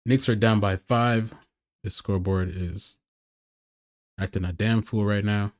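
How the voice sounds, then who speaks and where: A man's voice: on the low side, fairly even intonation, unhurried. A man hosting a live stream, giving casual, slangy reactions to a basketball game. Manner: slangy, informal. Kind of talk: casual play-by-play reaction chat.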